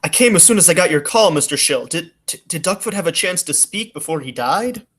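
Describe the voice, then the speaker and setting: A man's voice: a little high, animated, brisk, fairly bright tone, slightly rough. A man playing an earnest, puzzled detective hero in the style of a 1940s radio detective play. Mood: probing.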